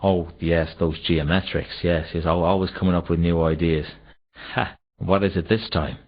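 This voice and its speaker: A man's voice: deep voice, steady tone, normal speed. An actor playing a shifty, dim, cowardly city watchman in a comic video game, hesitant and evasive, forever making excuses. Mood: dim, curious. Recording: dull, muffled old console game audio.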